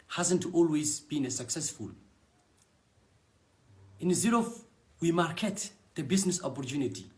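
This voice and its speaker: Man, Somali accent, native Somali speaker, young adult, earnest testimonial, halting phrasing, slightly high pitch. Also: a little mellow. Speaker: network marketing distributor. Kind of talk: prepared personal testimonial.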